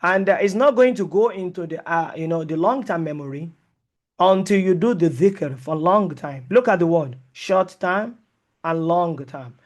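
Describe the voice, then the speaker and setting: Male lecturer, non-native English, slightly high voice, halting delivery with many fillers. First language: not English. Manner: mixes in Arabic and Islamic terms. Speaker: Sharia teacher. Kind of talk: online lecture with live Q&A.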